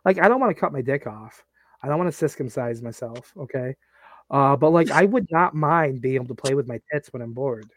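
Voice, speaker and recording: A man's voice: mid-range voice, expressive, fairly quick, no room sound. A man in his late thirties co-hosting a podcast, rambling and joking crudely in casual banter. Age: 30s. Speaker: podcast co-host.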